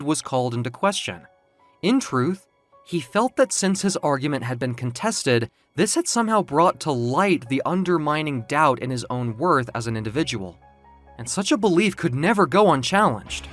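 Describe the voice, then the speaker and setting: A man's voice: mid-range voice, a bit bright. A man reading scripted, dramatic fiction as the narrator of an audio story.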